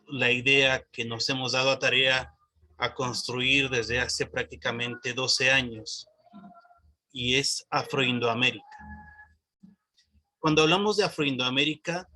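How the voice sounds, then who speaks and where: A man's voice: mid-range voice, sharp tone. A man from Oaxaca with a Mexican accent, speaking reflectively and off the cuff as a panelist, searching for his words. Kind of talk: reflective spontaneous talk.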